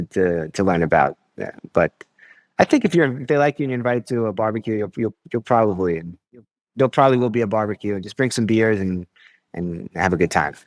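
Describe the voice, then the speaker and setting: American guy on a podcast, lowish voice, easygoing, stumbles and repeats words, dry sound. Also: dry recording. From United States. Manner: relaxed, repeats words while thinking. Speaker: podcast guest. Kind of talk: casual interview chat.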